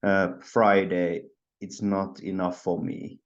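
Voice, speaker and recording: A man's voice: low-pitched, flat delivery, takes it slowly, highs cut off. A man from Finland speaking on a video call, hesitant and full of fillers. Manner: hesitant, many fillers and restarts. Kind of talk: personal talk on video call.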